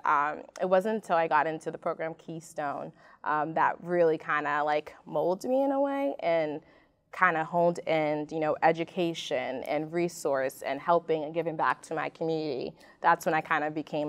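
Low, gravelly young woman, earnest. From raised in Martinique. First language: French. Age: young adult. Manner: earnest, reflective, many fillers. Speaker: club alumna panelist. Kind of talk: panel interview. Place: studio stage.

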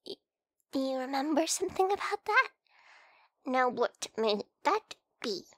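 A woman acts out a flustered young female friend in an intimate ASMR roleplay. Her voice is high and soft, with hesitant, stammering delivery. The sound is dry, with hardly any room in it. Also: very animated, unhurried, no echo, exaggerated voice. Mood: sheepish, hesitant. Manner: hesitant, stammering, soft-spoken with breathy whispered moments.

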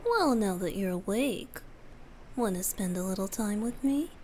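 A woman voicing a youthful male wind-spirit bard, soft, gentle and teasing, often breathy, in a romantic ASMR roleplay. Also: mid-range voice, lively intonation, a little slow, close to an everyday voice. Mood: gentle, playful.

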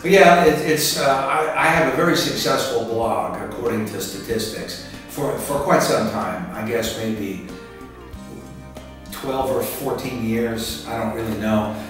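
Older man in a very echoey room. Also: mid-range voice. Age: 60s. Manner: rambling, halting, repeats words. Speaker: author and martial arts instructor being interviewed. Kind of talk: casual interview answers. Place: indoor room by a curtained window. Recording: echoey room, distant mic, music underneath. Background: background music.

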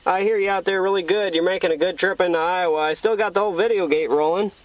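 A man's voice: sits high, steady tone, fast, no echo. An American man chatting casually in CB radio jargon from a vehicle, with a folksy, jovial manner. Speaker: CB radio operator. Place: inside a parked or mobile vehicle. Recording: dull, lo-fi in-vehicle sound.

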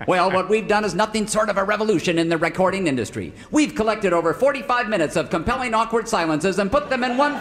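A man playing a brash, folksy pitchman in parody radio commercials, selling hard with exuberant, emphatic flourishes. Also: background noise. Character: brash, folksy, fast-selling male huckster in comic radio ads. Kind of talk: parody old-time radio advertising.

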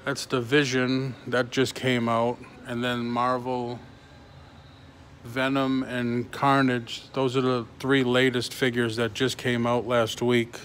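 A middle-aged man chatting casually on a live vlog from inside a shop, in a halting way, recorded on a handheld phone. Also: medium pitch, flat delivery, fairly bright tone. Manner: halting, reading and answering comments. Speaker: toy reseller livestreaming. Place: large concrete-floored antique mall booth indoors. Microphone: phone held at arm's length or closer. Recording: handheld phone with clear ambient noise.

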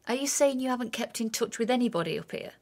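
An actress in a radio drama playing a wounded, suspicious estranged wife with a husky voice and dry sarcasm. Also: female voice, a little high, lively intonation, fast, a little mellow, a little hiss or hum. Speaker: actor.

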